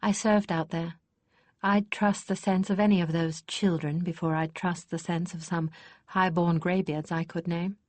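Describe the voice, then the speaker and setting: A woman voicing a forthright noblewoman and former soldier in an audiobook, firm and sharp. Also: on the low side, steady tone, moderate pace, no echo, lightly acted.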